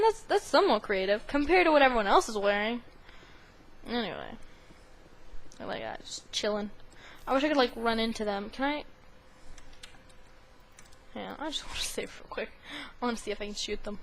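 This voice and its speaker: A woman giving casual, silly commentary while playing a video game, with sing-song asides. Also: on the high side. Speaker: gaming video host. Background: video game sound.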